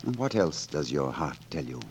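An actor playing a gallant, witty poet-rogue turned lord constable, with courtly, theatrical delivery in 1940s radio drama style. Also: male voice, very deep voice, sing-song, normal speed, slight background noise. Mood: wistful. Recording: vintage 1940s radio broadcast, orchestral music.

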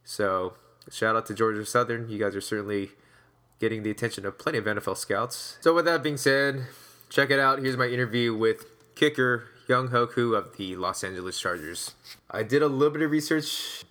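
A man's voice: mid-range voice, normal speed, bright, forceful tone. A man hosting a sports podcast, talking in a casual, upbeat, conversational way. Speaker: podcast host and interviewer.

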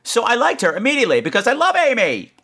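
Animated, campy man hosting a podcast. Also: very high voice, quick pace, gravelly, husky. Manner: campy, exclamatory, profane. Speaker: horror podcast host. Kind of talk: casual chat responding to listener voicemails.

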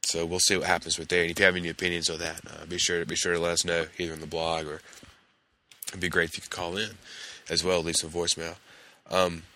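A male podcast host recapping a TV episode in a casual, hesitant way, his voice hoarse and gravelly from a cold. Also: low-pitched, little pitch movement, fast, pushed and bright, no room sound. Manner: hesitant, many fillers and restarts.

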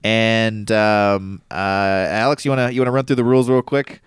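A man's voice: lowish voice, fairly even intonation, normal speed, clean tone, no echo. A man with a nasal voice, congested from a cold, chatting casually and self-deprecatingly as a board game podcast co-host. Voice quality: nasal, stuffy.

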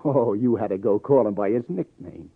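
A man's voice: lowish voice, moderate pace, breathy edge, no room sound. An actor playing a wry, hard-boiled New police detective in a 1950s radio crime drama, with sardonic, clipped tough-guy delivery. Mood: sardonic, accusing. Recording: tinny old radio broadcast, faint music.

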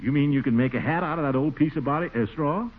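A man's voice: a little high, quick pace, lightly acted. An actor playing a blustering, easily swayed middle-aged man in a pompous, flustered 1940s radio comedy style. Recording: faintly noisy, tinny 1940s radio recording.

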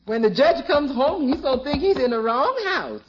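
High-voiced, droll housekeeper. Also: female voice, animated, normal speed. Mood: gleeful. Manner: folksy, dialect grammar, droll repetition. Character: droll, good-humoured housekeeper woman, deferential but frank. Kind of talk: 1950s radio comedy acting. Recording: dull old radio recording.

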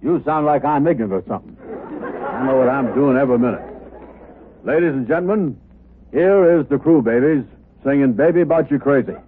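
An actor playing a slow-witted, stubborn, gullible man who speaks in muddled folksy dialect for 1950s radio comedy. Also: medium pitch, animated, crowd noise, lightly acted. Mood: indignant. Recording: tinny, narrow-band old radio recording.

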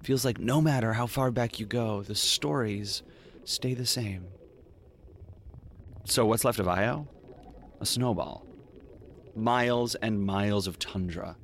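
An actor playing a world-weary, wry detective in a noir audio drama, brooding and sardonic. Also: male voice, fairly low pitch, slow pace, pauses often, a little hiss or hum. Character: world-weary, wry male detective narrating his story. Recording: faint music behind the voice.

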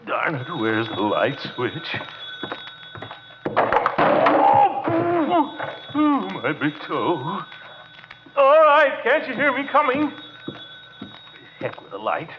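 Blustery, wildly swooping radio uncle. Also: male voice, high voice, wildly swooping pitch, very slow, long pauses, full character voice. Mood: groggy, fumbling. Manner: blustering, sighing, exclaiming. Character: blustery pompous middle-aged uncle, fussy and excitable. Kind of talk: 1940s radio sitcom acting. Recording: muffled, hissy 1940s radio recording.

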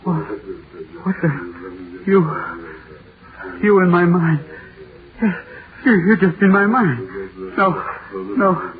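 An actor playing a haunted horror playwright who stammers and repeats himself, in 1930s radio drama style. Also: male voice, sits high, slow pace, pauses often, narrow, tinny audio, a crowd in the background. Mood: panicked. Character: terrified radio playwright haunted by his own monster. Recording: scratchy, noisy 1930s radio recording.

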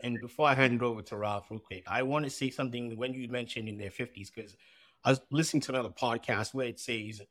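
A man's voice: medium pitch, steady tone, quick pace, fairly bright tone, dry recording. A man co-hosting a podcast, speaking in a rambling, conversational way as he builds up long questions. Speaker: podcast co-host. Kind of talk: podcast interview.

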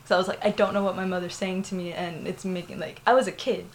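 A woman's voice: on the low side, fairly even intonation, quick pace, a little hiss or hum. A young woman from Florida with a Puerto Rican family, telling a personal story in a candid, rambling way, full of fillers and laughs. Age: young adult. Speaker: interviewee.

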